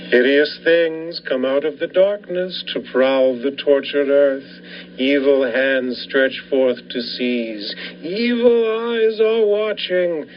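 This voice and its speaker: A man's voice: fairly high pitch, slow pace. A man playing an ominous announcer of a 1940s-style horror radio series, dramatic and portentous. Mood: ominous, menacing. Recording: dull lo-fi recording with faint noise.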